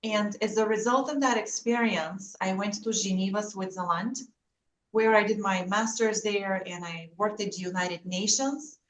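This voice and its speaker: A woman from Bulgaria speaks as an expert guest, earnestly explaining her background. She sounds a little away from the mic in an echoey room, with faint noise behind her mid-pitched voice. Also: normal speed, far from the mic. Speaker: interview guest, security expert and author. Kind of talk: earnest account of own background.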